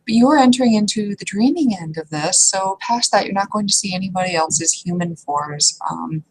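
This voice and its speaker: A woman narrating a tabletop roleplaying game as game master in a halting, conversational, improvised way. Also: medium pitch, rough recording. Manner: halting, conversational, piecing descriptions together. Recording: clear background noise.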